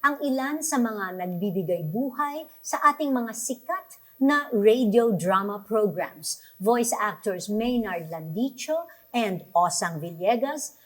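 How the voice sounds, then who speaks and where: Female radio host, conversational interviewer, fairly high voice.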